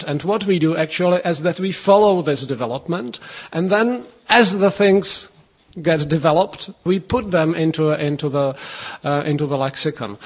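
Hesitant Czech-accented man, fairly high voice. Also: breathy edge. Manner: hesitant, many fillers and restarts. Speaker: linguist, head of a language institute. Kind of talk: radio interview answers.

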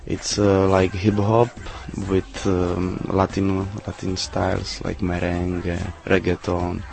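A man answering interview questions in halting English, full of fillers and restarts. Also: sits low, completely flat delivery, creaky, a little mellow. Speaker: interview guest, DJ.

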